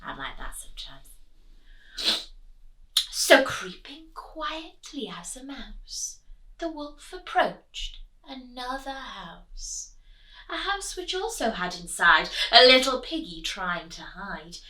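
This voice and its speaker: A woman teacher reads a rhyming story to children with a high, lively, sing-song delivery, taking her time over each line. Her bright voice sits back from the mic in an echoey room. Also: slow pace, far from the mic. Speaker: teacher reading aloud. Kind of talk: expressive storybook read-aloud for children.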